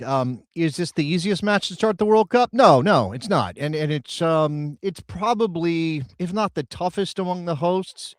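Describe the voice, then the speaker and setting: A man co-hosting a casual soccer talk show, emphatic and animated, often repeating words as he builds a point. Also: on the high side, no room sound, studio quality. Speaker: co-host and radio broadcaster.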